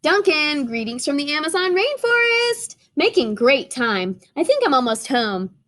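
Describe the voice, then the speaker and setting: Woman doing a perky crayon on its way home, high and chirpy. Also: very animated, measured pace, fairly bright tone, exaggerated voice. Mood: cheerful. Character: upbeat, lost crayon heading home.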